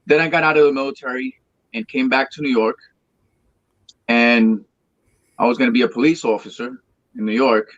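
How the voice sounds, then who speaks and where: A man's voice: mid-range voice, fairly even intonation, normal speed, pauses often, a bit bright, dry recording, lo-fi sound. A man in his thirties from Colombia, a Spanish speaker, telling his story in a rambling, restarting way as an interview guest. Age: 30s. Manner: rambling, repeats words and restarts often. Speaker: guest singer. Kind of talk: casual interview chat.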